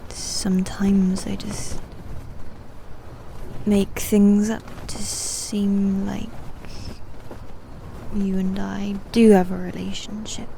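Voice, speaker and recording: A haughty female demon knight, acted by a woman in an intimate ASMR roleplay, half-whispering slowly in a soft, dark tone. She is guarded and teasing, secretly fond, with halting phrases over noticeable background noise. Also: medium pitch, little pitch movement, low-quality recording. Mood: defensive, flustered. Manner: hushed, breathy, haughty, halting.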